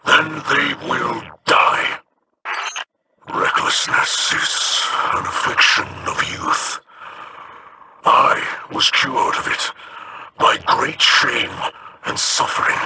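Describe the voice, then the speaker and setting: A man voicing a stern, weathered alien captain in a video game, speaking formally and deliberately with a gravelly voice. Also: mid-range voice, sharp tone, slight background noise, full character voice. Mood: stern, solemn. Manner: slow, formal, deliberate speech. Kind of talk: video game character acting.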